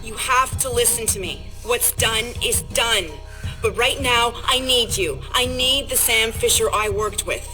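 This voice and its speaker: An actress playing an insistent, direct intelligence officer in a video game. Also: female voice, fairly high pitch, unhurried, bright, forceful tone. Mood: urgent, pleading. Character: urgent, steely female intelligence officer. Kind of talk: video game cutscene acting. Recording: music underneath.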